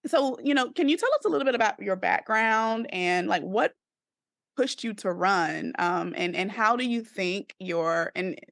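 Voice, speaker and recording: A woman hosting a conversational interview, speaking in a halting, wordy way that circles back on phrases. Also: mid-range voice, animated, creaky, a bit bright, no echo, studio quality. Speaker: podcast host.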